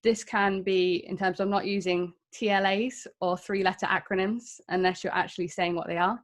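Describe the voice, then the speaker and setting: An English woman in her thirties speaks to a conference crowd, frank and a little self-mocking. Her voice sits at an ordinary middle pitch, and her delivery stays chatty rather than formal. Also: fairly even intonation, normal speed. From England. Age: 30s. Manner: candid, self-deprecating, conversational. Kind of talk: tech talk to an audience.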